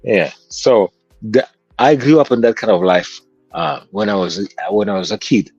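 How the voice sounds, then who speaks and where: Kenyan man, low husky voice, reflective storyteller, dry dull lo-fi sound. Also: animated, normal speed, a little mellow, no room sound, soft background music. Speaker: guest musician. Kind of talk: interview conversation.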